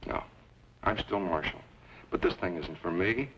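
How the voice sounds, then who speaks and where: An actor playing a stoic, weary frontier marshal who looks out for himself, measured and matter-of-fact, in an old-time radio western. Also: male voice, sits low, fairly bright tone. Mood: resigned. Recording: dull, noisy old radio recording.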